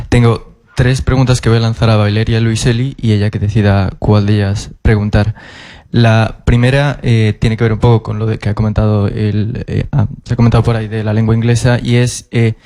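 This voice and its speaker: A man's voice: fairly low pitch, little pitch movement, moderate pace, warm tone, dry recording, clean studio sound. A man with a Spanish accent from Spain asking long, carefully built questions from the audience, restarting often. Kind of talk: formal question from the floor. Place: auditorium.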